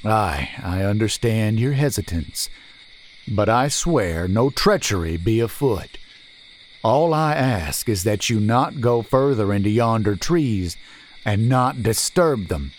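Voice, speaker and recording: A bowed, nervous countryman speaks in humble old-fashioned phrasing, played by a male narrator. His voice stays low and slow, with a faint hiss behind it in an audiobook. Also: expressive. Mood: pleading.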